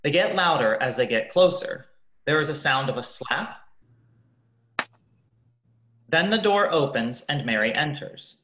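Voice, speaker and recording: A man narrating a scripted radio play in a measured, announcing manner. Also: a little high, animated, fairly bright tone. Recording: echoey room.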